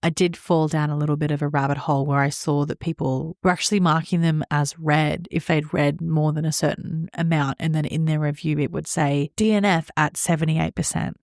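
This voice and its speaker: Deep, creaky-voiced woman chatting casually. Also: fairly even intonation, fairly quick, hardly any pauses, darkish tone, dry recording, clean studio sound. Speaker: podcast co-host. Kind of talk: casual book podcast chat.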